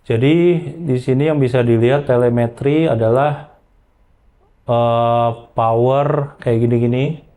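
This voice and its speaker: A man explaining software in an informal, hands-on tutorial, using colloquial tags as he goes. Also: medium pitch, fairly even intonation, unhurried. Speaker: audio software trainer.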